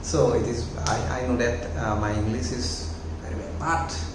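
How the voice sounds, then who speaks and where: A young man from Assam with an Assamese accent, English not his first language, giving halting casual advice to camera on a lapel mic at home, with many restarts and fillers. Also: medium pitch. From Assam, India. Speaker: YouTube host. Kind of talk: casual talking-head advice. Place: small room at home, indoors. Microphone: clip-on lapel mic. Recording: very echoey room with audible noise.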